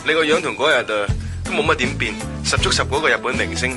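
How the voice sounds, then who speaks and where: A man's voice: medium pitch, fast talker, over music, lightly acted. An actor playing a breezy, forward young man who is back from Australia, in a Cantonese radio drama. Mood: excited, chatty. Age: young adult.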